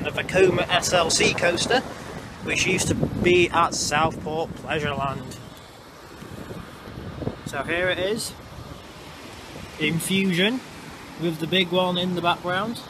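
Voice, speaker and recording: A man giving chatty, explanatory walking-tour commentary, recorded outdoors on a handheld camera. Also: high-pitched. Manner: chatty, explanatory, a bit halting. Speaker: vlogger. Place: outdoors in urban streets and underpass. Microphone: handheld camera mic. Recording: noisy outdoor street sound. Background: traffic and street ambience.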